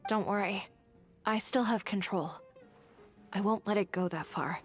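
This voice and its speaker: A woman voicing a grieving young woman who clings to a pleasant dream, speaking softly and wistfully. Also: mid-range voice, measured pace, natural voice. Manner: soft, wistful, quietly resigned. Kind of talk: game cutscene dialogue. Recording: game audio with music bed.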